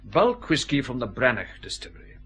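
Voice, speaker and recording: An actor playing a fussy customs and excise scientist in a radio detective drama, explaining things in a pedantic, measured way. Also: male voice, medium pitch, huge pitch swings, a bit bright, slight background noise. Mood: lecturing.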